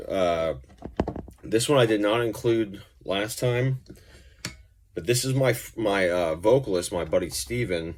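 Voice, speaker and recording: A man's voice: low-pitched, slight background noise. A man giving a casual, off-the-cuff effects pedal demonstration as a musician, talking in an informal, rambling way. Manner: informal, rambling, mildly profane.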